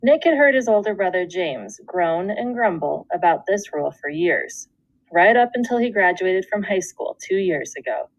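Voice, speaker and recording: Female schoolteacher for a children's read-aloud: animated intonation, deliberate storytelling phrasing, dry room sound. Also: on the low side, dry recording, dull audio. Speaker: second grade teacher.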